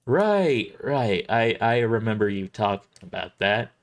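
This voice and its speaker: A performer voicing a groggy, careless man who talks in a halting, defensive way in an audio drama. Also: mid-range voice, sing-song. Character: flustered, snarky, groggy man, careless deadbeat father.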